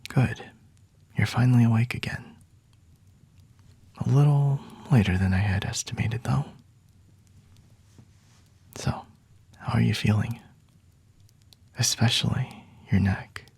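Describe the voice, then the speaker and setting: A man voicing a smug, flirty vampire lord in an intimate ASMR roleplay, breathy and husky, often whispering, with a teasing, condescending, refined manner. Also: mid-range voice, slow, long pauses, darkish tone, full character voice. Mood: smug, seductive.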